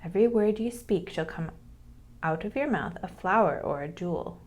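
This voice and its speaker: A woman's voice: fairly low pitch, animated, moderate pace, husky. Storybook good fairy, played by a woman reader; formal, pronouncing, polite yet stern. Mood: solemn, kind.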